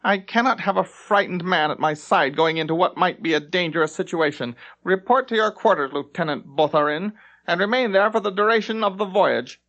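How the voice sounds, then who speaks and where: A man's voice: high-pitched, a little fast, fairly bright tone, lo-fi sound, pitched above the performer's own voice. A man voicing a haughty, self-important elven ship captain with a sneering, condescending delivery in a fantasy audiobook. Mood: sneering, contemptuous. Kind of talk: audiobook character acting in a fantasy story.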